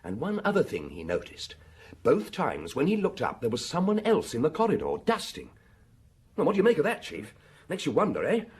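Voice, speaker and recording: A man playing an eager young police inspector in a radio drama, raspy-voiced, keen and a little hesitant as he reports. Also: on the high side, wildly swooping pitch, brisk, full character voice. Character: eager, chirpy, health-conscious junior male police inspector.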